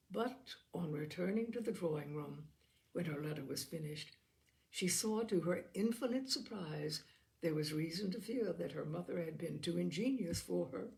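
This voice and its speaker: Female audiobook narrator for classic fiction, noticeably creaky tone, expressive delivery. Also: fairly low pitch. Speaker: audiobook reader. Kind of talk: scripted literary narration, reading a classic novel aloud.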